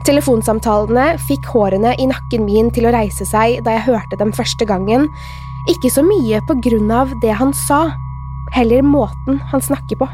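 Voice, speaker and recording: A woman's voice: a little high, dark tone, faint music behind. A woman, a podcast host reading a scripted true crime narration.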